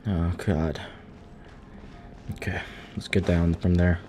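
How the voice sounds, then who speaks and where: Low-voiced, offhand male game streamer. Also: little pitch movement, slow pace, darkish tone, a little hiss or hum. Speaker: live streamer. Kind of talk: casual gaming commentary.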